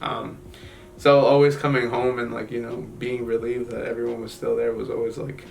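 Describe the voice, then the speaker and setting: Young man from Guatemala, interview subject; hesitant, filler-heavy, gravelly low-key delivery. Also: level tone, distant mic, faint music behind. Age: young adult. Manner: halting, many fillers and restarts. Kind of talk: personal story in an interview.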